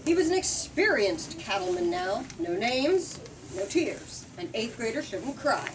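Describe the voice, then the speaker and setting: A woman's voice: fairly high pitch, sing-song, measured pace. A woman reading a storybook aloud to listeners and talking about it, with dramatic, emphatic delivery. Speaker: host reading book excerpts aloud. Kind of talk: expressive storybook read-aloud with discussion. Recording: distant mic, noisy room ambience.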